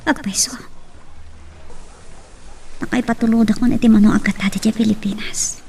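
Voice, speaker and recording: A woman acting a caring middle-aged mother who works abroad, speaking with an Ilocano accent in a drawn-out, affectionate way in an audio drama. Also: fairly high pitch, fairly even intonation, vocal fry, a little mellow, husky. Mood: worried, musing. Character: caring mother working abroad as a maid, phoning home. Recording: distant mic with faint noise.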